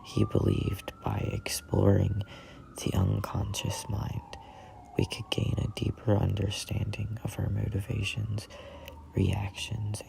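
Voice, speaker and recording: A person in their twenties reading a book aloud as a whispered ASMR read-along, in halting, deliberate phrases. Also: low-pitched, gravelly, darkish tone, low-quality recording. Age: 20s. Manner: halting, deliberate phrasing with stumbles over words. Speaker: reader of a self-help book.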